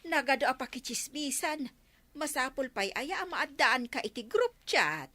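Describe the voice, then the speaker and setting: Casting: woman as brash Ilocano village gossip, radio comedy, bright voice, animated intonation. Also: high voice, normal speed, heavily acted. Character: blunt, gossipy village woman, carefree freeloading guest. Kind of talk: radio comedy drama acting.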